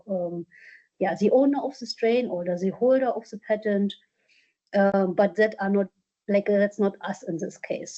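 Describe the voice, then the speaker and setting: A woman with a mellow, dark voice answers questions at a workshop. She talks in a halting way, full of fillers and restarts. The sound is dull and lo-fi. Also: medium pitch. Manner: hesitant, many fillers and restarts. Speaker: presenter from a culture collection. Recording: dull lo-fi conference audio.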